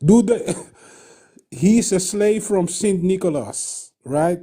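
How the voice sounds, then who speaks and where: A man from Guyana whose first language is Dutch, calling in to a radio show and talking haltingly with many fillers. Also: sits high, animated, dark tone, husky. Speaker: caller. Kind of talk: call-in radio chat.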